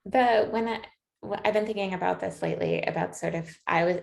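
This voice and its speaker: Gravelly-voiced woman interviewer, late thirties. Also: mid-range voice, fairly quick. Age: late 30s. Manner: thoughtful, searching for words. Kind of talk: reflective conversation.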